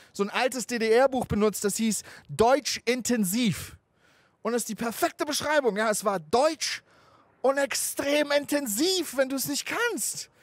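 A man in his thirties who grew up in Germany, doing a stand-up comedy set on an outdoor rooftop stage into a handheld mic. He tells stories in an animated, conversational way and swears freely. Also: very high-pitched, no echo. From Afghanistan, raised in Germany.

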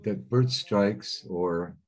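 A man giving a simultaneous interpretation of webinar talks, speaking in a steady, measured way with occasional hesitations. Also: on the low side. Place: remote webinar.

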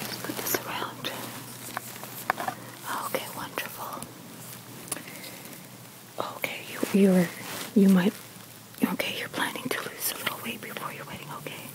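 A woman whispering softly and breathily in an ASMR roleplay as a shop consultant, in unhurried short phrases with hesitant pauses. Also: mid-range voice, level tone, low-quality recording. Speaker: ASMR creator playing a bridal boutique consultant.

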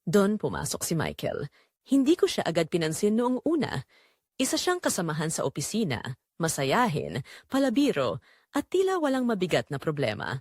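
A woman's voice: fairly low pitch, wildly swooping pitch, normal speed, darkish tone, dry recording, studio quality. A woman playing a guilt-ridden married mother confessing a secret affair, narrating in the first person in an emotive, confessional manner. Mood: tender, longing.

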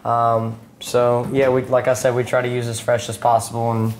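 Even-toned man demonstrating food, background noise. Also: medium pitch, normal speed. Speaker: chef and food truck owner, guest. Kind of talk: casual cooking demonstration. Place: indoor studio kitchen. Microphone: lapel mic.

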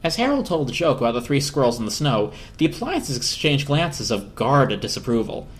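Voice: medium pitch, very animated, moderate pace. A narrator giving a dramatic reading of a storybook. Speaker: narrator, reader.

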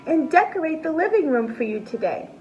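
A woman voicing an eager, devoted young male T-Rex from a storybook, excitable and insistent. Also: sits high, brisk, dull audio, soft background music, pitched above the performer's own voice. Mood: insistent, excited. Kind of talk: storybook character voice.

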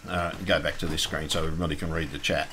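A man's voice: extremely low pitch, little pitch movement, quick pace, background noise. A man with an Australian accent hosting a casual call-in show, rambling and chatty with lots of ums and restarts.

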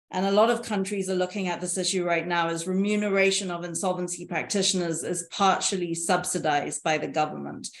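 A woman in her thirties presenting slides on a video call, in a measured, explanatory way. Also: on the low side. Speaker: panelist presenting on insolvency reform. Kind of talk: presentation over shared slides. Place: indoor room, video call. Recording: echoey room on a video call.

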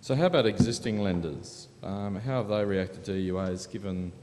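A man's voice: on the low side, normal speed. A man moderating a panel, putting questions in a casual way. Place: large hall panel event with audience. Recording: echoey hall, faint ambient noise.

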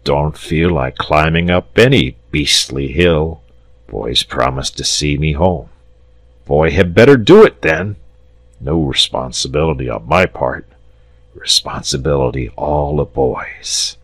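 A man's voice: deep voice, lively intonation, slow pace, fairly bright tone, no room sound, full character voice. Man voicing a drawling, pompous, lazy storybook dragon. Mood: drowsy, content. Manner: drawling, pompous, chummy old-fashioned British phrasing. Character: big jovial, lazy, self-satisfied sleepy dragon. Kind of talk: storybook character voice.

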